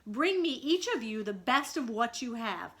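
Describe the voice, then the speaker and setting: A woman plays a young boy captain from a storybook, eager and commanding. His voice is bright and forceful, and he issues crisp orders as if leading a gang. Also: fairly high pitch, big pitch swings, moderate pace.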